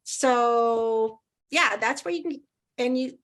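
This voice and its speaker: Chatty high-voiced woman guest. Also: slow pace. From Indiana, USA. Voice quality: loud voice. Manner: chatty, rambling, laughs easily. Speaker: podcast guest, author. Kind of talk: casual interview chat.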